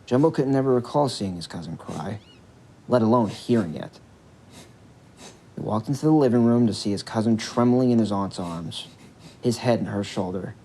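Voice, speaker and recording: A man narrating a scripted character backstory as an audio drama, in an expressive, dramatic storytelling manner. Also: on the low side, normal speed, rough recording.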